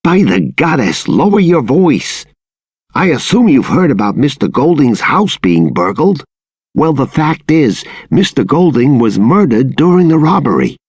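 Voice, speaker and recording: A man's voice: mid-range voice, sing-song, unhurried, slightly rough, no room sound, clean studio sound. A man playing a secretive townsman in a video game, confiding grim gossip in a storytelling manner. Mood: secretive, urgent. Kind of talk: video game dialogue acting.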